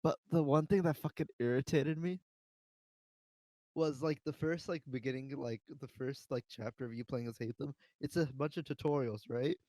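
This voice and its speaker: A man's voice: mid-range voice, normal speed, darkish tone, no echo, rough recording. A man hosting a casual panel chat, talking in slangy, profane language. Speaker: podcast host. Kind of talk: casual panel chat about games and films.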